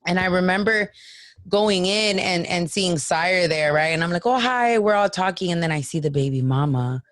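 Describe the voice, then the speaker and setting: Raspy woman telling a story. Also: on the low side, normal speed, no echo. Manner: animated, acts out quotes, swears freely. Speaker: guest. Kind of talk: casual storytelling.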